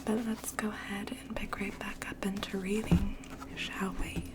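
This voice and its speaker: A woman whispering in a gentle, breathy, slow ASMR style, as if reading poetry aloud and sharing quiet thoughts. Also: medium pitch, level tone. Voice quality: breathy, mostly unvoiced whisper. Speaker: ASMR host and poetry reader.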